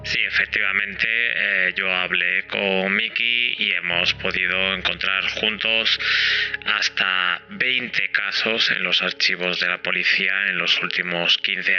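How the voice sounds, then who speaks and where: A man playing an uneasy police archive source who speaks haltingly in a podcast interview. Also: deep voice, bright, forceful tone, highs cut off, exaggerated voice. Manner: hesitant, halting delivery. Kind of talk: interview in a true-crime style podcast.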